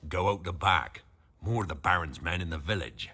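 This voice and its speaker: A man playing an anxious village innkeeper in a fantasy video game, with a rough edge to his voice. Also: low voice, lively intonation, moderate pace, pushed and bright, exaggerated voice. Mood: wary, subdued. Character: anxious rustic male innkeeper in a war-torn village.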